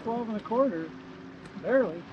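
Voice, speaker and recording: A middle-aged man with a fairly high voice talks casually to a boat-mounted camera while fishing from a kayak. He keeps it low-key and matter-of-fact, half talking to himself. Wind and water noise sit clearly under his voice outdoors on the lake. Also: lively intonation, moderate pace, dull audio. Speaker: kayak angler. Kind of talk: casual self-filmed commentary. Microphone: action camera mounted on the boat.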